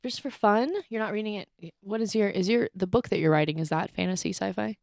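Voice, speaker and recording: A woman's voice: mid-range voice, fast talker, darkish tone, no echo, clean studio sound. A woman in her twenties co-hosting a podcast, chatting informally, reacting and asking questions. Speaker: podcast co-host. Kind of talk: casual, conversational podcast chat.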